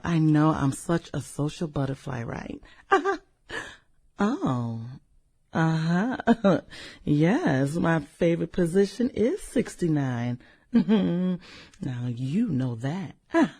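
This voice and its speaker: An actress in a satirical radio drama playing a sultry, manipulative businesswoman with a theatrical, coquettish manner and breathy delivery. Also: deep voice, big pitch swings, a little mellow, heavily acted. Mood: flirty, teasing. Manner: theatrical, coquettish, drawn-out exclamations and giggles. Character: sultry, demanding, manipulative businesswoman juggling rich lovers.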